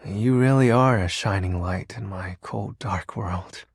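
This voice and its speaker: Husky, slow-talking shy lord of darkness. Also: male voice, fairly low pitch, no room sound, studio quality, exaggerated voice. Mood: nervous, tender. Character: personified darkness, shy sentimental awkward self-styled shadow lord. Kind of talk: video game voice acting.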